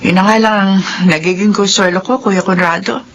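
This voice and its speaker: A woman's voice: mid-range voice, steady tone, brisk, slight background noise, natural voice, rough recording. A woman acting a stern, long-suffering mother, easily outraged at her children, in a Filipino radio drama. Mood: weary, disappointed.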